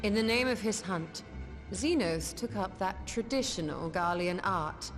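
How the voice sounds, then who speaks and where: A woman's voice: mid-range voice, unhurried, lightly acted. A woman playing a learned, composed young scholar in a video game cutscene, articulate and formal as she explains. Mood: grave. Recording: prominent music behind.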